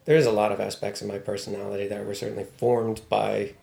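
A man's voice: fairly low pitch, little pitch movement, fast, gravelly, warm tone, reverberant. A man from Baltimore, talking casually and thoughtfully as an interview guest, with a wry tone and many fillers. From Baltimore, USA. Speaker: news writer, guest.

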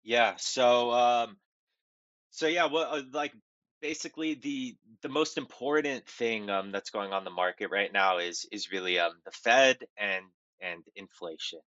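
Stuffy-nosed man, halting market talk. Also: medium pitch, fairly bright tone, no room sound, highs cut off. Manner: halting, many ums and restarts. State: nasal from a cold. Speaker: podcast co-host. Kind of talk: casual market commentary.

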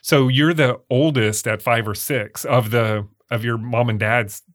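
This interviewer asks short, steady follow-up questions to draw out the guest's life story. The voice sits at a middle pitch. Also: unhurried, dry recording, clean studio sound. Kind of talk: oral history interview.

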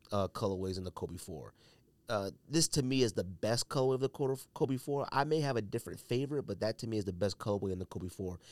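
A man's voice: fairly low pitch, a little mellow, no echo. A man hosting a sneaker podcast, giving casual, opinionated commentary in an emphatic, slangy way.

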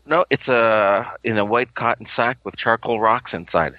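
A man co-hosting a casual podcast from a couch at home, rambling and giggly, with sudden theatrical jumps up in pitch. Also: moderate pace, no room sound, narrow, tinny audio. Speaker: podcast co-host. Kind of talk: casual chat. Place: living room at home, on a couch.

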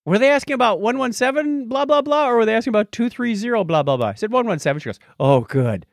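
A man's voice: very high-pitched, animated, fast, dry recording, studio quality. A man co-hosting a podcast in casual chat, telling jokey stories and acting out both sides of conversations. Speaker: podcast co-host.

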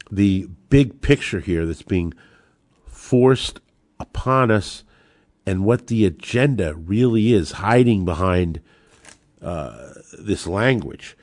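A man's voice: fairly low pitch, a little mellow, dry recording, clean studio sound. A man hosting a podcast as an attorney, speaking in an emphatic, opinionated monologue.

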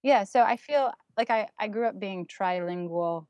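A woman's voice: mid-range voice, moderate pace, darkish tone, dry recording. A woman with German as her first language, a guest artist talking over her path, thoughtful and full of ums and restarts. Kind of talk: casual interview, recounting her path.

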